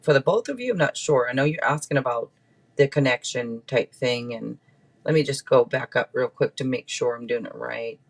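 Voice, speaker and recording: A man's voice: mid-range voice, normal speed, vocal fry. A man hosting a casual live tarot reading, chatting to viewers in a rambling, playful way with frequent asides. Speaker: tarot reader and livestream host.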